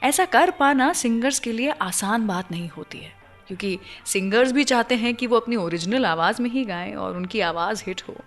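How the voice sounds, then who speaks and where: A woman's voice: a little high, animated, normal speed. A woman with an Indian accent hosting a radio show, telling stories conversationally and addressing listeners directly with emphatic phrasing. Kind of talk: conversational storytelling radio presenting. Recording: music bed behind the voice. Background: background music.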